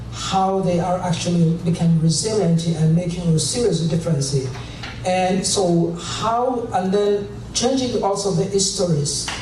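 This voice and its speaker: Man, Somali accent, high-pitched, audience questioner, heard from afar in a reverberant hall. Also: warm tone, slight background noise. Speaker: audience questioner, humanitarian worker. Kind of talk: impromptu question at a conference. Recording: very echoey hall, distant mic.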